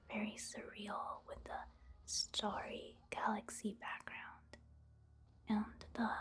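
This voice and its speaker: Breathy whispering woman, slow and soft. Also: mid-range voice. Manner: gentle, unhurried, hushed. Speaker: ASMR host and game commentator. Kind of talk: soft-spoken whispered ASMR game commentary.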